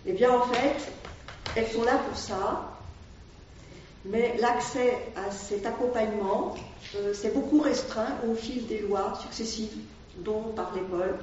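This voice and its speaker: A woman's voice: on the high side, slow, a little mellow. Distant echoey woman, hesitant speaker. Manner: hesitant, many fillers and restarts. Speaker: volunteer at an asylum-seeker aid association. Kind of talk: explanatory talk to an audience. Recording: distant, echoey, dull room sound with faint noise.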